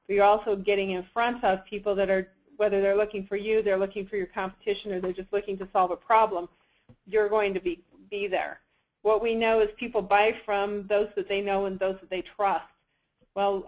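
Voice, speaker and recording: A woman presents a sales pitch on a conference call in a conversational way. Her pitch is middling and stays within a narrow, even range.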